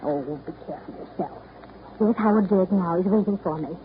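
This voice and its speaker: An actress playing a spoiled, restless divorced socialite in a 1930s radio drama, theatrical and cajoling, with snippy endearments. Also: female voice, on the low side, normal speed, lightly acted. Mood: defensive. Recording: noisy, tinny 1930s radio broadcast.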